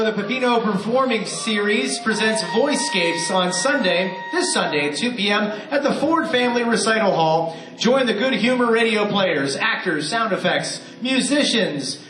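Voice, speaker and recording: A man hosting a live radio show, introducing the cast and making cheerful announcements. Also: high-pitched. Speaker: host. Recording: echoey hall with loud audience.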